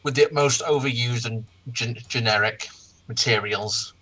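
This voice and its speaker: Halting, mocking mid-pitched commentator. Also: bright, forceful tone, low-quality recording. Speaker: online video commentator. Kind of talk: casual group critique chat.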